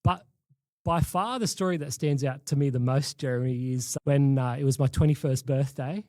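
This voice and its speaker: A man hosting a podcast, sounding sniffly and a little croaky, chatting in an easygoing way. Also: mid-range voice, normal speed, mellow, no room sound. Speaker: podcast host. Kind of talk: casual interview chat.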